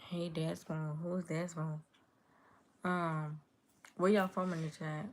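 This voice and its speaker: A woman's voice: low-pitched, flat delivery, takes it slowly. A woman from Chicago hosting a livestream, chatting easily with viewers and answering their comments aloud. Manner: easygoing, chatty, reads chat comments aloud and answers them. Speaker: livestream host. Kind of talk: casual livestream chat with viewers.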